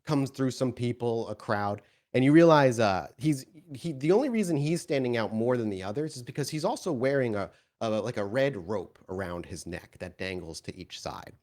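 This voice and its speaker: A man improvising tabletop game narration as game master, in a halting, descriptive storytelling manner. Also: medium pitch, lively intonation, darkish tone.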